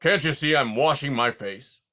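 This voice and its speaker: Old porcupine voiced by a man, grumpy, sputtering, loud, rougher timbre. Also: mid-range voice, moderate pace, natural voice. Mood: grumbling. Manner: sputters colorful made-up oaths, huffy. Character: grumpy old male porcupine, blustery and insulting.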